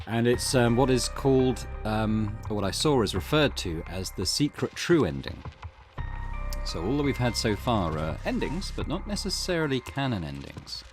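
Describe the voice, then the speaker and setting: A man's voice: mid-range voice, very animated, normal speed, over music. A man hosting a game playthrough, explaining and thinking aloud, often correcting himself mid-sentence. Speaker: let's play host. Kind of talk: game commentary.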